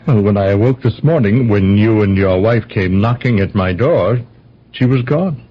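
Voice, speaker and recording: A man's voice: fairly low pitch, moderate pace, breathy edge. An actor playing a flustered, earnest house guest in a radio drama, stammering and repeating his words in agitation. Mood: uneasy. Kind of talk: old-time radio drama acting. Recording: dull lo-fi radio recording.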